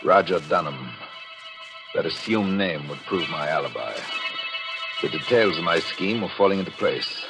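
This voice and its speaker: A man's voice: low voice, animated, normal speed. An actor playing a suspicious, jealous husband who narrates his murder plot in dramatic 1940s radio thriller style. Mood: tense, secretive. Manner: brooding, dramatic, intense delivery. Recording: vintage radio broadcast with organ-style music.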